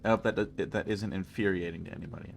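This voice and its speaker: A man's voice: low voice, steady tone, fast talker, creaky, soft background music. A man with a stuffy nose chatting casually and rambling jokily with his chat while streaming a game. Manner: rambling, jokey, talks to chat.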